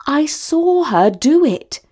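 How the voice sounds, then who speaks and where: Storybook princess, played by a woman narrator: young, imperious, melodramatic, high and slow-paced delivery. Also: sing-song. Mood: indignant, insistent. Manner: haughty, demanding, melodramatic. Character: haughty, dramatic young princess, imperious and easily outraged. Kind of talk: acted dialogue in a storybook reading.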